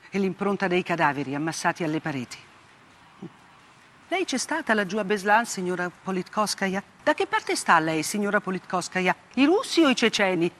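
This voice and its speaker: An older actress playing a haunted, bitterly ironic woman journalist in a theatrical radio monologue, speaking deliberately and emphatically. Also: lowish voice, fairly bright tone. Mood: grave witness. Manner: emphatic, deliberate delivery with rhetorical repetition. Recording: music bed behind the voice. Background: plucked guitar-like music.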